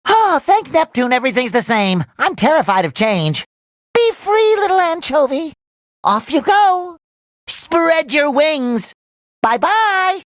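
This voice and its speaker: A man voicing a cheerful, naive, excitable young male cartoon sea sponge in a video game, with a nasal, squeaky, childlike and eager delivery. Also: normal speed, hardly any pauses, no echo, studio quality, exaggerated voice. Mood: nervous, relieved.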